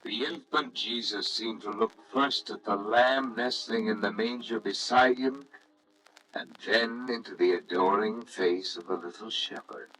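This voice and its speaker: Slow, low-voiced male story narrator. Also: fairly bright tone, rough recording. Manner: solemn, reverent, measured. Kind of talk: scripted storybook narration, old radio drama.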